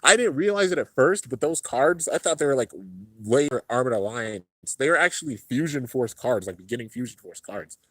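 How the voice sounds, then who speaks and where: A man's voice: lively intonation, fairly quick, a bit bright, slightly rough, dry recording, low-quality recording. A young man hosting a casual livestream and reading viewer comments, laid-back and rambling, a little congested. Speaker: YouTube host reading live chat. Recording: low-end home stream setup.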